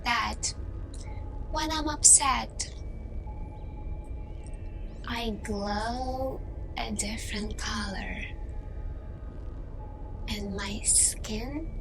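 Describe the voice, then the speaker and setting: A woman playing a gentle, probing humanoid alien interviewer in an ASMR roleplay, speaking softly and deliberately with pauses between short phrases. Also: on the high side, creaky, music in the background, exaggerated voice. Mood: earnest, questioning.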